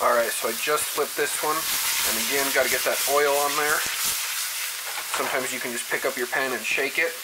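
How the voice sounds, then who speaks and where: A man's voice: mid-range voice, steady tone, normal speed, heavy background noise. Young man, laid-back home cook host, folksy step-by-step talk, camera mic in a home kitchen with a pan sizzling behind. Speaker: home cooking show host. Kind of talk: casual cooking demonstration. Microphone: camera mic, speaker off frame, moving around. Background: frying and sizzling pan.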